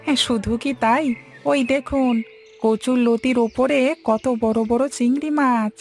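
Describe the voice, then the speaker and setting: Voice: medium pitch, fairly even intonation, unhurried, soft background music, natural voice. A performer voicing a helpful, eager male village farmhand sidekick in a cartoon. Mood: amazed. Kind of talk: cartoon dialogue.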